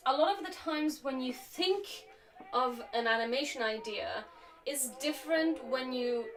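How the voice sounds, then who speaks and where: A young woman, a native speaker of Slovak who grew up in Germany, chatting casually and reflectively while crafting on a live stream, with a slightly sore throat. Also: sits high. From Slovakia, raised in Germany. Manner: relaxed, reflective, chatty with frequent fillers. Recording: distant mic with faint music.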